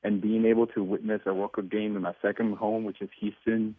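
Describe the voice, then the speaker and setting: Male caller from Venezuela, earnest, low monotone, tinny phone sound. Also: fairly quick. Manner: earnest and excited, with restarts. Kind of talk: phone-in call. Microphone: telephone.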